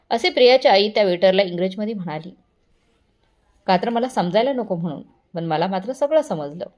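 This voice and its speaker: A woman's voice: mid-range voice, darkish tone. Woman narrating a scripted story.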